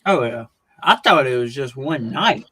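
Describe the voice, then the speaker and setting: A man's voice: medium pitch, expressive, measured pace, bright, forceful tone, husky, no echo, clean studio sound. A man in casual group conversation, with informal, folksy phrasing.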